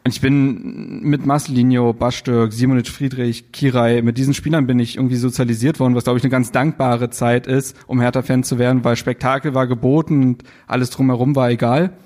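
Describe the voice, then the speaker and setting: A man's voice: mid-range voice, level tone, fairly quick, few pauses, darkish tone. Young man, from Berlin, panel guest, earnest and fluent, mid-range voice. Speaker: guest blogger. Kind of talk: live stage panel talk. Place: theater stage.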